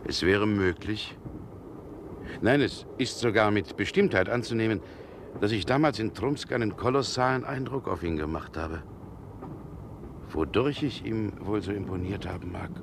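An actor playing a mocking, self-assured gentleman in a deliberate, musing manner, in the style of a 1960s radio play. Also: lowish voice, lightly acted. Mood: brooding, musing. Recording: noisy vintage 1960 radio recording.

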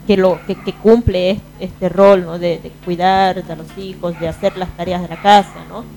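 A woman's voice: lowish voice, steady tone, moderate pace, darkish tone, faint music behind, poor audio. A woman with a Bolivian Spanish accent co-hosting a casual radio panel, informal and often checking for agreement. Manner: informal, often seeks agreement with tag questions. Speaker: radio show co-host. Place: radio booth.

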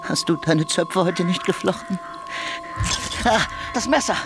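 An actor playing a haunted, frantic murderer in a German radio drama, halting and repeating himself, then breaking into defiance. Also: male voice, high voice. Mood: haunted, guilty. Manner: halting muttering broken by outbursts, repeats words. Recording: music behind the voice.

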